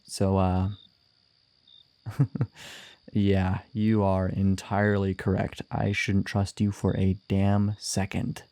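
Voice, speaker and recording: Wanted: male actor for a wry, gruff bounty hunter in fantasy audio roleplay, low-pitched, flirtatious and teasing. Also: dark tone. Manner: teasing, wry, swearing, sighing.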